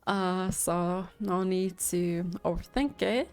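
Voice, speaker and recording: Slow-paced, musing woman reacting to a game. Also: lowish voice, a little mellow, clean tone. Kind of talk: casual reaction commentary. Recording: faint game music behind.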